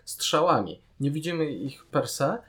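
A man's voice: on the high side, sing-song, measured pace, fairly bright tone. Polish man in casual, critical podcast talk. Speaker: podcast co-host. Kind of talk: casual critical discussion of a series.

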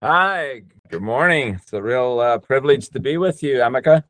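An older man, telling his personal story as a podcast guest in a hesitant, unhurried way with pauses. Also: mid-range voice, animated, a bit bright, dry recording. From Northern Ireland. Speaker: podcast guest, business coach.

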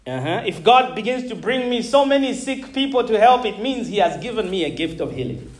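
Pastor, man in his thirties, from Zambia; emphatic sermon delivery, high for a man. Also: expressive, a little fast. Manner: emphatic, calls out to the congregation; repeats words, laughs between points.

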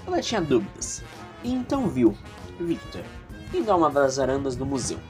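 A man's voice: medium pitch, wildly swooping pitch, brisk, faint music behind. A man with a Brazilian accent narrating an audio drama in a theatrical, confiding way with chatty asides.

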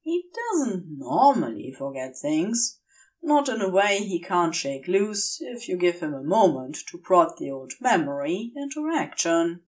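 Slow, expressive woman reading fiction. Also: on the low side, distant mic. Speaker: podfic narrator. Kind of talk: scripted fiction narration.

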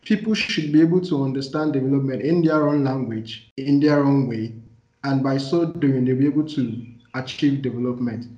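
A man's voice: mid-range voice, moderate pace, dark tone. Nigerian man explaining in an echoey room. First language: Igbo. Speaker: interviewee, development practitioner. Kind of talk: thoughtful interview answer. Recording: echoey room, muffled lo-fi sound.

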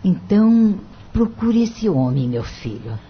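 An actress playing a gentle mother of a grown son, speaking tenderly in a radio drama. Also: mid-range voice, sing-song. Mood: fond, encouraging. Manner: tender, unhurried. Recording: dull, lo-fi radio recording.

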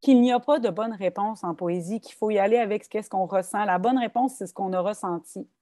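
Québécoise woman speaking softly, mellow voice. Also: medium pitch, a little fast, dry recording, studio quality. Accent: Québécois French. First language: French. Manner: thoughtful, animated, many restarts and fillers. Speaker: guest author and poetry workshop leader. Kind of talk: casual conversational interview.

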